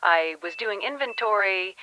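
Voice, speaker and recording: A woman playing a frightened archive worker on an emergency call, halting and stammering with urgency. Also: medium pitch, expressive, bright, forceful tone, no echo. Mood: shaken, urgent. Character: frightened female archive worker reporting a bomb by phone. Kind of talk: acted emergency-call drama. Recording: noisy emergency phone line with clicks.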